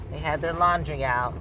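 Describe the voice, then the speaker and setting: Man, slightly high voice, chatty travel vlog host, thinking aloud on the road, clear road and engine noise. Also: muffled recording. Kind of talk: casual travel vlog narration. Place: inside a vehicle on the road.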